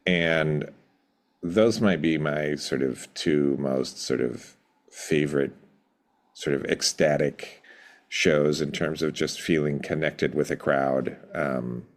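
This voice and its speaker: A middle-aged man with an extremely low voice speaks as an interview guest. He tells stories reflectively, often pausing to search for the right words. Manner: thoughtful, measured, pausing to find words. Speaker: podcast guest, rock band drummer.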